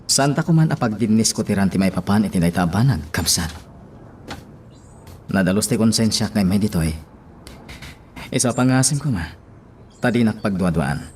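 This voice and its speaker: A man with a Filipino accent playing a calm, devout parish priest in a radio drama, earnest and measured. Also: on the low side, a little hiss or hum, natural voice. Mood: calm, resolute. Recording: music bed under the voice.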